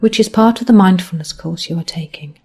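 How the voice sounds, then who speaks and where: A woman teaches mindfulness through a guided body scan. Her voice is soft-edged and mellow, with a husky, breathy grain. She delivers each phrase gently and with measured care, letting the endings fall away softly. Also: lowish voice.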